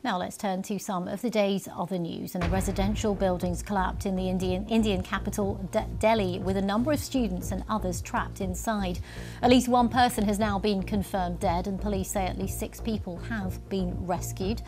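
A woman anchors a live TV news bulletin in a brisk, clear voice. She reads the headlines steadily and stumbles now and then. Faint music plays behind her. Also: medium pitch, steady tone. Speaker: news presenter.

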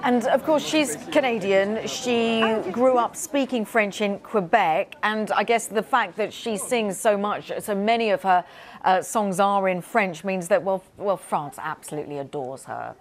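A female news presenter introducing stories and handing over to a correspondent, clear and scripted. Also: mid-range voice, unhurried.

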